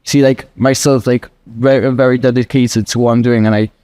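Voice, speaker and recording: Twenty yr old guy, earnest rambly answers, lots of "like", mellow warm voice. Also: mid-range voice, little pitch movement, brisk. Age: 20s. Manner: earnest, rambling with frequent "like" fillers. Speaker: guest trader. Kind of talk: casual interview answers.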